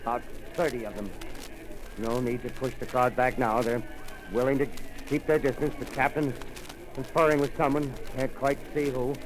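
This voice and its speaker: A man's voice: mid-range voice, level tone, music in the background, lightly acted. A man playing a stammering, panicked radio reporter. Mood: tense. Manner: halting, stammering, increasingly panicked. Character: adult male radio reporter describing an alien landing. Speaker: field reporter. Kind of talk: 1930s radio drama acting. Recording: noisy 1930s radio broadcast.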